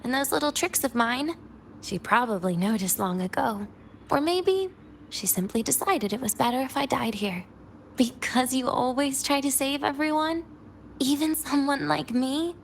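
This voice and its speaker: A wistful young woman from an anime-style game. Her voice sits on the high side and she speaks with self-deprecating softness. Faint background noise sits behind her. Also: expressive, normal speed.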